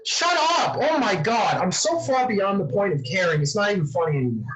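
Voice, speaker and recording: A man's voice: high-pitched, sing-song, fairly quick, a bit bright, rough recording. A man playing a responsible, exasperated brother in his twenties, sarcastic and scolding, in a screenplay table read. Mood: outraged. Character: reserved, exasperated responsible 26-year-old brother.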